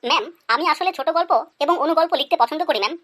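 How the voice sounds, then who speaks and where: Fast, high, cheeky cartoon schoolboy voice act. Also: pushed and bright. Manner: pleading, deferential yet cheeky. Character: cheeky excuse-making schoolboy pupils in a comedy cartoon. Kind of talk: animated comedy skit dialogue.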